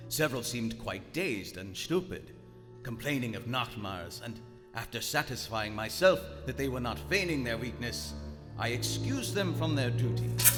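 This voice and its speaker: An actor playing an arrogant, stern German submarine captain in live radio theater, with a pompous, formal, commanding manner. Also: male voice, medium pitch, close to an everyday voice. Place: on stage in a large theater. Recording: echoey theater hall, music, hiss.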